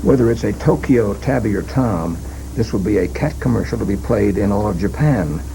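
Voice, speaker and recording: A man's voice: on the low side, expressive, moderate pace, creaky, background noise. A man delivering a scripted local television news report as the reporter.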